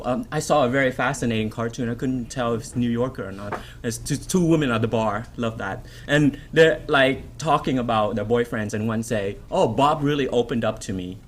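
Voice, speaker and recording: A man's voice: mid-range voice, a little hiss or hum. A man in his thirties whose first language is Vietnamese, answering audience questions at a podium mic, thoughtful, with many ums and restarts. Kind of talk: public Q&A. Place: lecture room at a podium.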